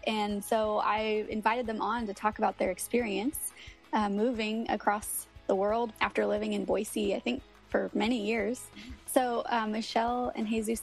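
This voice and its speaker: A young woman hosting a podcast, friendly and laughing easily, introducing her guests with slow, careful phrasing. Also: on the high side, vocal fry. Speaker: podcast host.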